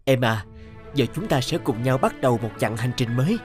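A man's voice: mid-range voice, expressive, brisk, close to an everyday voice. An actor playing an earnest, considerate man in a moral cartoon drama. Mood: gently reassuring. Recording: music under the voice.

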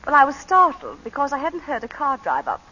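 A frightened planter's wife. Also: female voice, high-pitched, huge pitch swings, pushed and bright, full character voice. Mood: composed. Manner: nervous, halting, then composed storytelling. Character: tense, frightened planter's wife, adult woman. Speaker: lead actress in radio drama. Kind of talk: 1940s radio acting. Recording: dull old radio broadcast.

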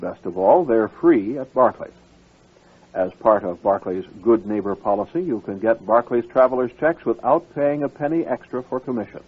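A man's voice: medium pitch, expressive, moderate pace, slightly rough. A man working as a radio station announcer, reading scripted ads and programme introductions. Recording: narrow, phone-like radio sound.

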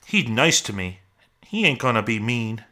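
A man's voice: mid-range voice, very animated, normal speed, pushed and bright, voice pushed up. Man acting a childlike, anxious simple-minded big man. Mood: defiant, upset. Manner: plain rustic ungrammatical talk.

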